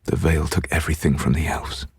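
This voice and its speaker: A man playing a solemn, regretful ancient elven mage in a video game, speaking in measured, formal phrases. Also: a bass voice, little pitch movement, darkish tone, slightly rough, no echo, studio quality, heavily acted. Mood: grave, regretful. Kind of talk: video game character dialogue.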